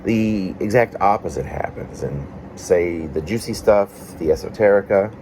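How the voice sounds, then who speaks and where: A man with a very low voice reads his own introspective piece. His phrasing wanders and halts, breaking into short fragments. A faint, steady hum sits behind him. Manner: meandering, halting phrasing with pauses. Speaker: poet reading own work. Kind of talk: reflective reading of a personal essay-poem.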